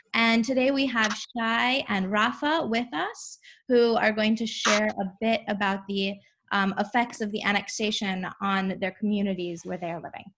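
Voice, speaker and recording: Woman introducing a group on a call. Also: fairly high pitch. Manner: frequent "um" pauses, plain conversational tone. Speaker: nonprofit director. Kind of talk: unscripted introduction. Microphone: webinar call.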